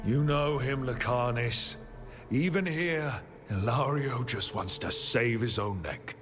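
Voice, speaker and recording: An actor playing a steadfast, reassuring heroic companion and leader in a video game, earnest and persuasive. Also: male voice, mid-range voice, takes it slowly, a bit bright. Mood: firm, urging. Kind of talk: dramatic video game acting. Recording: music behind the voice.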